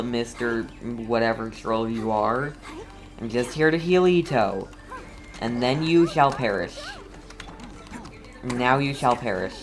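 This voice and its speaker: A streamer giving casual gameplay commentary, playful and mock-scolding, often talking straight to the game. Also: medium pitch. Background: game music.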